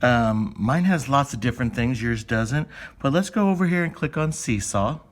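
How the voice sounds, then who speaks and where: A man's voice: medium pitch, animated, moderate pace. Male elementary teacher, mid-range voice, informal step-by-step delivery aimed at young children, with conversational questions. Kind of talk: step-by-step lesson for young children, thinking aloud.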